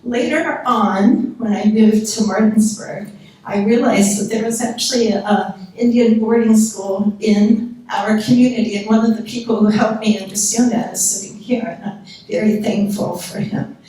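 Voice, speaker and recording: A soft-spoken woman with a Pennsylvania accent, giving an earnest public history lecture into a microphone. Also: mid-range voice, fairly even intonation, moderate pace. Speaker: guest lecturer. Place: lecture hall. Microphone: handheld or podium microphone. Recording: echoey hall, picked up from a distance.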